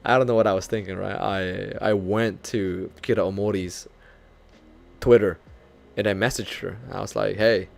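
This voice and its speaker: Gravelly-voiced Filipino man chatting. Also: on the low side, moderate pace. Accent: light North American-leaning English, Filipino speaker. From Philippines. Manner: chatty, self-deprecating, laughs often, animated when retelling. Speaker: VTuber streamer. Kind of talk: casual live-stream chat with viewers.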